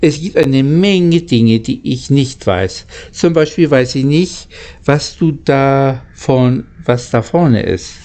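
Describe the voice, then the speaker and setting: Man reading as a calm, slow-spoken engine driver. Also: mid-range voice. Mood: amused. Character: knowing, calm, good-natured grown male engine driver.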